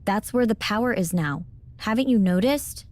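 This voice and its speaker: A woman playing a blunt, knowing mentor in a video game cutscene, explaining in a measured, pointed way. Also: mid-range voice, lively intonation, a little mellow, close to an everyday voice. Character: knowing, blunt female mentor in a supernatural wrestling drama.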